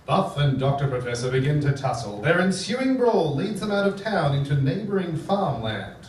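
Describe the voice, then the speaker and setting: A man's voice: a little high, big pitch swings, normal speed, distant mic, a little hiss or hum. A man acting a melodramatic narrator of a comic radio serial, announcing with dramatic emphasis. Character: melodramatic male serial narrator and excitable fight commentator. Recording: echoey theatre from afar, loud backing music. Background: loud backing music.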